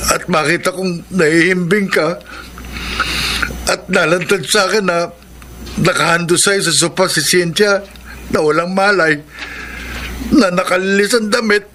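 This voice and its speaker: Nervous stuttering driver in a radio drama, high bright voice, faint hiss. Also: male voice. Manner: stammering, nervous. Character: stammering young male driver turned killer. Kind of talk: 1990s radio drama acting.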